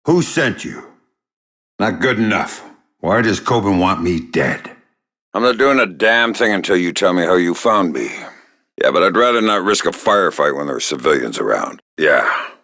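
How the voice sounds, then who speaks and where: An actor playing a gruff, wry, middle-aged covert agent in a video game, speaking tersely in a gravelly, often breathy growl with deadpan sarcasm. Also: male voice, sits low, normal speed, dry recording, studio quality, exaggerated voice. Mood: angry, menacing, then dry, wry.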